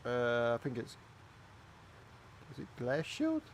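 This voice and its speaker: A man's voice: mid-range voice, wildly swooping pitch, takes it slowly, a little hiss or hum. A man from Wales with a Welsh accent, giving casual, chatty commentary while flying a flight simulator. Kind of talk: casual gaming commentary with chat.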